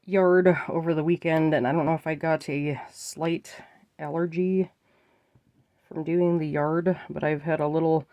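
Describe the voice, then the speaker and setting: A woman's voice: low-pitched, fairly even intonation, unhurried, no room sound, highs cut off. A woman with a stuffy, congested nose chatting casually to viewers while she makes bracelets. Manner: chatty, often addresses viewers as you guys. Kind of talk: casual chat while crafting.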